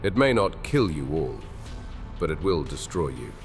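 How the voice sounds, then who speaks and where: An actor playing a grieving, desperate king in a dark fantasy audio drama, speaking in slow, solemn, measured threats. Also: extremely low pitch, very animated, exaggerated voice. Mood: grimly threatening. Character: grieving cursed old king, grave and desperate. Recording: reverberant with soft music behind.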